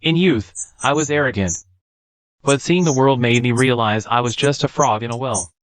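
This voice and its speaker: Man as a grave mid-pitched sect follower. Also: moderate pace, smooth, no echo, lightly acted. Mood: humble, resigned. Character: grave, humble, deferential male sect follower. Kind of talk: dramatic dubbed animated comic acting.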